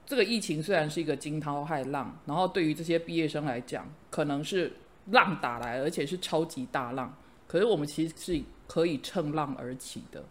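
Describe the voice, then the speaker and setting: Middle-aged male teacher-host, slightly higher voice, earnest pep-talk manner. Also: brisk. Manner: earnest, encouraging, fond of idioms. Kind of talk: casual chat.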